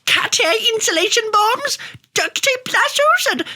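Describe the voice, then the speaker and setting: A woman's voice: very high voice, lively intonation, measured pace, bright, forceful tone, full character voice. A woman playing a feisty, stubborn old grandma in a sitcom-style radio play, folksy and brash. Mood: defiant, rallying. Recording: clearly noisy recording.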